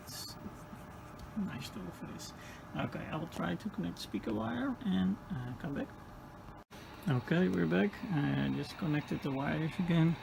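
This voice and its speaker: A man in his forties with a Dutch accent, repairing an old radio and thinking aloud step by step with hesitant pauses. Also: fairly high pitch, gravelly, background noise. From Netherlands. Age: 40s. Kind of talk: hands-on repair vlog.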